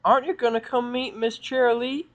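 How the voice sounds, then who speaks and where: A man's voice: very high voice, flat delivery, fairly quick, muffled recording, pitched above the performer's own voice. A man voicing a young female cartoon pony, sweet, eager and curious, with a folksy childlike manner. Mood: pleading.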